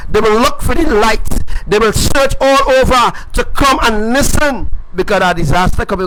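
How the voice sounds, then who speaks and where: High-voiced man preaching emphatically. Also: noisy background. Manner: emphatic and repetitive, swinging between loud declarations and low asides. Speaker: self-styled prophet. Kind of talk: live-stream preaching.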